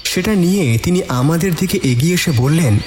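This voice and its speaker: A man narrating a scripted first-person story in an expressive, suspenseful storytelling manner. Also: a little high, moderate pace. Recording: reverberant voice with added echo. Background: faint music.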